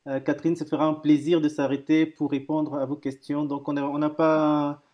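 A man's voice: a little high, flat delivery, normal speed, lo-fi sound. A man in his thirties hosting a live video call, cheerful and hesitant, stumbling over repeated words and fillers. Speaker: host. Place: home office room.

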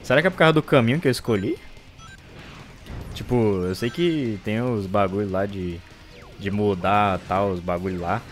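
A Brazilian man doing casual, exasperated gameplay commentary, excitable and full of swearing. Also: fairly low pitch, normal speed, soft background music. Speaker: gaming video host.

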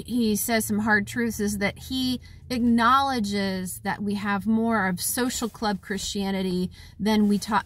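A woman's voice: mid-range voice, a bit bright. A woman in her forties talking to camera from a parked car, tired and heartfelt, rambling with many restarts, recorded on a phone held at arm's length. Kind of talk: casual talk to camera.

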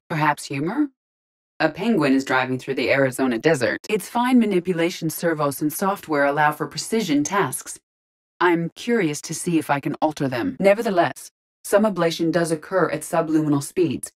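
A woman voicing a calm, logical, curious female artificial intelligence in a video game, with precise, measured, formal delivery. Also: deep voice. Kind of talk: video game character voice lines.

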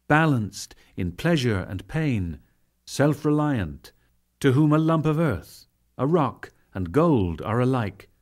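A man reading as a solemn, expressive god. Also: mid-range voice. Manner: solemn, exalted, measured oratory. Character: serene, authoritative male god teaching his disciple. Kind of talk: dramatic scripture reading.

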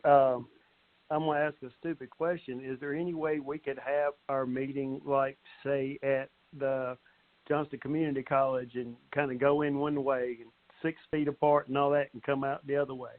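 A man's voice: medium pitch, little pitch movement, normal speed. A man speaking informally as a councilman on a conference call, in a plain-spoken way. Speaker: town councilman. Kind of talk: informal meeting question. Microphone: telephone. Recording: tinny narrow-band phone line.

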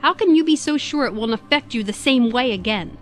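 An outspoken, caring female companion played by a woman in a sci-fi audio drama. Her high voice is very lively in pitch, with light music underneath. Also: a little fast, natural voice. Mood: skeptical, concerned. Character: outspoken, concerned female companion of a time traveller.

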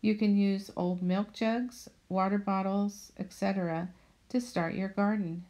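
A woman narrating a voice-over about her home garden in a steady, plainly read manner. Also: mid-range voice, a little slow. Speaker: budget vlogger.

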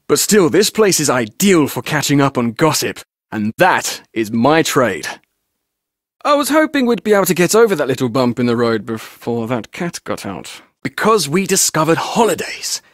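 A man's voice: fairly high pitch, a bit bright, dry recording, clean studio sound. An actor playing a chatty, roguish male tour guide in a video game, with a glib, jaunty, theatrical way of telling things. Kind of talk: video game character dialogue.

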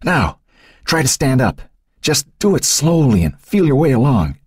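A man's voice: mid-range voice, big pitch swings, normal speed, breathy edge, no echo, clean studio sound, voice pushed up. A man voicing a determined young boy who coaxes and insists, guiding a scared friend in a storybook reading. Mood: urging. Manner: urging, insistent.

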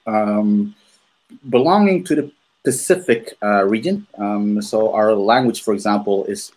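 A low-pitched man from Maluku in his thirties, talking into a desk mic on a video call from home. He hosts in a relaxed, conversational way, filling his pauses with ums and restarting his sentences. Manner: unhurried, many ums and restarts. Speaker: podcast host. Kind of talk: casual conversational storytelling. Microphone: earphones with a desk microphone.

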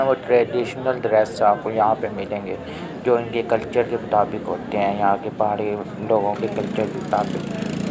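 A man with a fairly low voice walks viewers through the shops of a market at night. He explains in a relaxed, easygoing way. Crowd chatter fills the street behind him. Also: low-quality recording. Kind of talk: casual guide talk. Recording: busy crowd noise behind.

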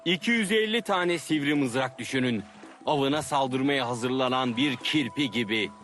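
A man's voice: medium pitch, normal speed, fairly bright tone, faint music behind, lightly acted. An actor playing a dramatic male storyteller describing a fearsome beast in a dubbed cartoon. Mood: ominous.

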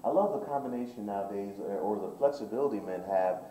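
Polished guy hosting a style segment in a store, mellow low voice, echoey room, mic kinda far off. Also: fast, a little hiss or hum. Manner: upbeat, polished presenter patter. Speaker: style show host. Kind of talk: on-location style interview. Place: menswear boutique, indoors. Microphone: far camera. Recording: roomy shop sound from off-mic.

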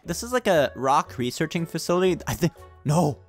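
Lively, excitable young man gaming. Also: on the high side, moderate pace, over loud music. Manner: excitable, mock-dramatic. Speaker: gaming video player. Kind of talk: playful improvised gameplay roleplay commentary.

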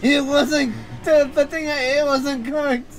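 A man's voice: very high voice, level tone. A man playing a whiny, dim stabbing victim with a put-on Mexican accent. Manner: whiny protests, slow drawl. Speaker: co-player. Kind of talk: improvised comedy over gameplay.

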